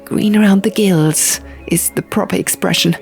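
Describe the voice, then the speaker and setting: A woman's voice: mid-range voice, moderate pace, vocal fry, a little mellow, pitched above the performer's own voice, lightly acted. A woman voicing a determined, composed young heroine in an audiobook, speaking with earnest intent. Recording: music under the reading.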